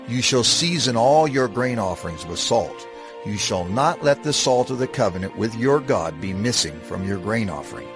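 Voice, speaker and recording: Casting: male narrator for dramatized scripture, mid-range voice with expressive rise and fall, grave delivery. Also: medium pitch. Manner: solemn, measured, deliberate emphasis. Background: music.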